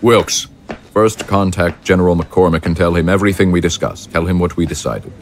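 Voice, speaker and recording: A man playing a commanding, calculating ruler in an audio drama, speaking with deliberate authority. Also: low-pitched, a little hiss or hum, natural voice. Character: commanding, calculating male ruler of a militarist state.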